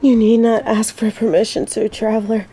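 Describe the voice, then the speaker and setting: A woman's voice: a little high, flat delivery, normal speed, dark tone, slight background noise, close to an everyday voice. A woman playing an earnest, remorseful exiled female knight in a romantic fantasy audio roleplay, speaking solemnly and faltering when flustered. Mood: tender, smitten. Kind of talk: scripted romantic audio roleplay, addressing the listener.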